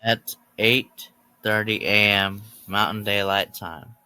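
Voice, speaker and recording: Very slow, halting man reading an emergency alert. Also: fairly low pitch, fairly even intonation, bright, forceful tone, clear, resonant tone, dry recording, low-quality recording. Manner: halting, breaking sentences into short word groups. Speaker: narrator of a homemade emergency alert scenario. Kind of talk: scripted emergency alert announcement.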